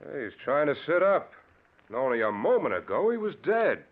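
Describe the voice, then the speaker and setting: A man's voice: on the high side, big pitch swings, normal speed, phone-quality sound. An actor playing a kindly, folksy motorcycle policeman with a plainspoken, reassuring manner in an old-time radio mystery. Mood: startled. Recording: thin, noisy old radio recording.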